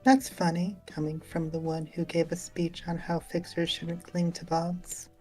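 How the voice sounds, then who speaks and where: Woman acting cold game-story mistress, haughty, imperious, deep mellow female voice, music behind. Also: level tone, measured pace, natural voice, low-quality recording. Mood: mocking. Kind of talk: amateur game script read-along.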